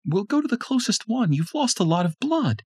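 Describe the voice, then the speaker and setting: A man voicing a fierce young wife, his voice pushed very high and shrill. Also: big pitch swings, normal speed, darkish tone, slightly rough, no room sound, clean studio sound, exaggerated voice. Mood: urgent.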